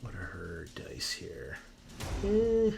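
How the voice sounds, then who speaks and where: A man's voice: low-pitched, wildly swooping pitch, takes it slowly, vocal fry. Man running a tabletop game over music. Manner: thinks aloud while adjudicating rules. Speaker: game master. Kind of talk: narrating a tabletop roleplaying game. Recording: background music under voice.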